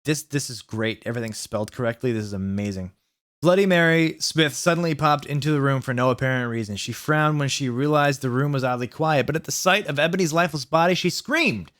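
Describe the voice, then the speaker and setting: A man's voice: medium pitch, brisk, fairly bright tone, no echo. A man hosting a read-aloud of fan fiction, delivering it theatrically with exclaimed quotes and mocking asides of his own. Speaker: host reading fan fiction aloud as a challenge.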